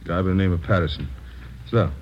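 An actor playing a gruff chief of detectives, briefing his men with clipped authority in a 1950s radio drama. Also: deep voice, a little fast, lightly acted. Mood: brisk, businesslike. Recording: dull, noisy vintage radio recording.

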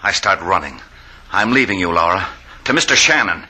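An actor playing a bitter, jilted criminal accomplice with a terse, biting delivery in old-time radio drama. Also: male voice, sits low, expressive, normal speed, pushed and bright, breathy edge, heavily acted. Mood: sour, resigned. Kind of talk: 1940s-50s radio adventure acting.

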